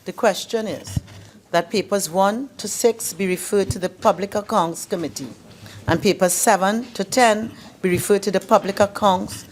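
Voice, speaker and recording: A woman's voice: mid-range voice, moderate pace. A woman presides as Speaker in a parliament chamber. She reads out procedure in a formal manner, with noise behind her voice.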